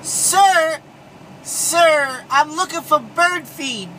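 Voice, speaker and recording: A man voicing a whiny, simple-minded prank caller with a silly, drawn-out way of talking. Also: extremely high pitch, background noise, pitched above the performer's own voice, full character voice. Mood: silly pleading.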